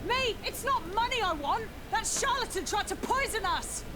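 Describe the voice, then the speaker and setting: A woman's voice: extremely high pitch, lively intonation, normal speed, noisy background. A woman playing a blunt, earthy commoner in a video game, an indignant member of a mob. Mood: angry. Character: angry, coarse commoner woman in a vengeful mob.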